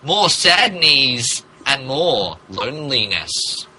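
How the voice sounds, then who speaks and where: A man's voice: mid-range voice, sing-song, slow, pushed and bright, slight background noise, rough recording. A man narrating a comic dramatic reading of fan fiction in a theatrical, emphatic manner. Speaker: podcast host reading as narrator.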